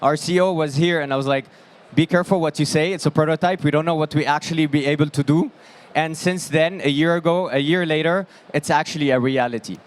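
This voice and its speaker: A man in his thirties presenting on an expo hall stage with a handheld mic. His voice is strained and fading from shouting, and his manner is upbeat and joking. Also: a little high, steady tone, fast talker, few pauses. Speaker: product presenter. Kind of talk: conference stage talk. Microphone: handheld microphone.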